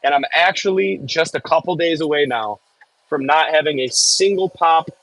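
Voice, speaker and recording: A man with a Michigan accent chats loosely on his livestream, answering viewers' comments as they come in. His voice sits a little high for a man, and the sound is dry and close, with no room echo. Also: moderate pace, a bit bright. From Michigan, US Midwest. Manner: rambling, reads and answers chat aloud. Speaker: livestream host. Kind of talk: casual live-stream chat.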